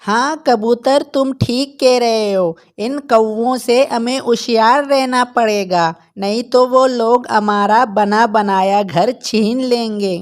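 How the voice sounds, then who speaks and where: A woman's voice: a little high, takes it slowly, few pauses. A woman voicing a wary, plain-spoken bird neighbour in a cartoon, firm and earnest. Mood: wary, indignant.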